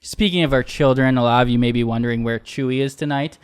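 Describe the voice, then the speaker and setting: A man's voice: medium pitch, steady tone, fast, smooth. A man co-hosting a comedy podcast in casual, tongue-in-cheek banter with mock-grand remarks. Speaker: podcast co-host.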